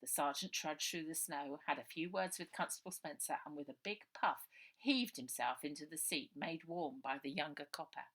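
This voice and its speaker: A woman's voice: low-pitched, normal speed, fairly bright tone. A woman narrating a children's adventure story as its author, reading aloud.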